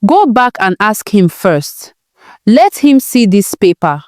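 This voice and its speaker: A woman's voice: mid-range voice, sing-song, slow, a little mellow, no room sound, clean studio sound. A woman voicing a protective, indignant older motherly figure who counsels urgently in a told folktale. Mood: firm counsel.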